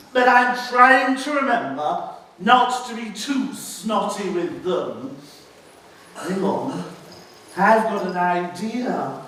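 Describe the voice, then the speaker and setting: Man as a high-voiced, echoey British pantomime dame. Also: a bit bright, exaggerated voice. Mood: coaxing, rousing. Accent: British English. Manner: exaggerated, theatrical, chatty, coaxing the audience. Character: Dame Trot, boisterous, cheeky, motherly middle-aged pantomime village woman. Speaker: pantomime performer. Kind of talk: live pantomime monologue with audience address. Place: theatre stage, recorded from a distance. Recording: roomy echoing stage, distant mic, faint noise.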